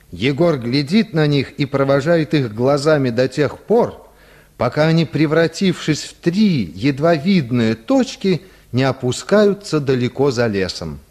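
Slow, measured male narrator. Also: mid-range voice, a little mellow. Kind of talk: literary scripted narration in a radio play.

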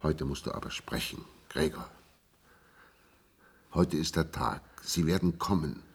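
Ein Schauspieler spielt im Hörspiel einen müden, resignierten Mann, der heiser, zögernd und eindringlich auf einen Gefährten einredet. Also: male voice, extremely low pitch, unhurried, creaky, slightly rough, exaggerated voice. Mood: gentle urging. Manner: hesitant, pleading, intimate. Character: world-weary, resigned man patiently coaxing his silent companion. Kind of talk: German radio play acting.

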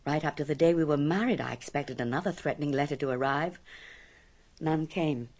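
A low-pitched, haunted woman of middle age in a radio drama. She confides a frightening past deliberately, with dramatic pauses and an undercurrent of fear. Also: darkish tone. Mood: tense recounting.

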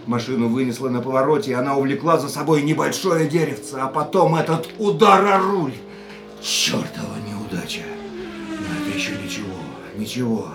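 A man's voice: mid-range voice, very animated, moderate pace, over music. A male actor playing an injured, stubborn racing driver in a radio play, impatient, urgent and driven. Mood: agitated, rueful. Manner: urgent, agitated, barking orders. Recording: roomy, distant, loud vehicle noise.

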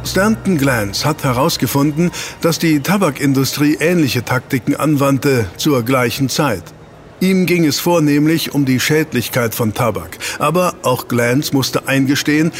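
A man's voice: mid-range voice, close to an everyday voice. Actor playing an eager male researcher, music behind. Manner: explanatory, teasing out reveals with rhetorical questions. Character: eager male researcher briefing his investigative team. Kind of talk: German audio drama acting.

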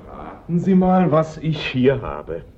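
An actor playing a chatty, wry police assistant with a theatrical delivery in a German radio play. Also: male voice, medium pitch, wildly swooping pitch, slow, mellow, heavily acted. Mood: cheerful, teasing. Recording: dull, noisy old radio recording.

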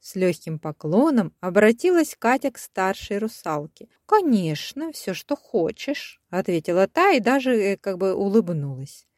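A woman reads a fairy tale as its storyteller. Her narration is expressive, sitting at an average pitch for a woman, and the recording is dry, with no room around the voice. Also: normal speed, few pauses, dry recording.

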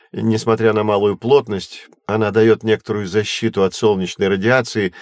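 Man acting a knowledgeable teacher for kids' audio drama, lowish voice, measured and explanatory, dry recording. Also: no echo, close to an everyday voice. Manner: measured, explanatory, clearly phrased. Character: patient, knowledgeable male astronomy teacher guiding children. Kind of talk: scripted educational audio drama narration.

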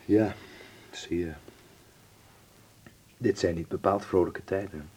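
A man's voice: low-pitched, steady tone, takes it slowly, darkish tone, a little hiss or hum. An actor playing a troubled, understated man in a wartime Dutch radio drama, with a Netherlands Dutch accent. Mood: troubled, reluctant. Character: anxious, troubled man protecting his wartime friends.

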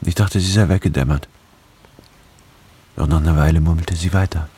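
An actor playing a brooding, introspective office anthropologist who narrates a radio drama in a measured way. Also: male voice, very deep voice, steady tone, warm tone, breathy edge, heavily acted. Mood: brooding, detached. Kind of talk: scripted first-person radio drama narration.

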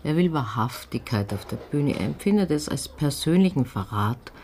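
A world-weary theatre insider, acted by a man, confides in a radio drama. The voice is medium-pitched and very mellow, deliberate and sardonic, with some background noise. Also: expressive, close to an everyday voice.

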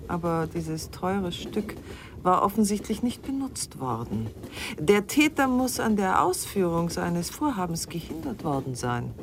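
An actress in a German radio drama playing a composed, probing middle-aged wife with a measured, pointed way of talking. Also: female voice, medium pitch, background noise.

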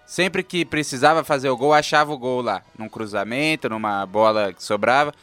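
A man's voice: mid-range voice, moderate pace, fairly bright tone, faint music behind. A young man with a São Paulo accent, a student panelist arguing his football pick in a casual radio debate. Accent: São Paulo Portuguese. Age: young adult. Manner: insistent, argues his pick.

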